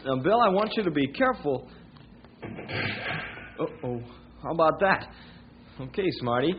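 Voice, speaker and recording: An actor playing a worried, joking, loyal ranger sidekick in an old time radio western. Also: male voice, on the high side, expressive, normal speed, close to an everyday voice. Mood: worried, teasing. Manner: folksy, chummy, anxious. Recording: muffled, faintly noisy old radio recording.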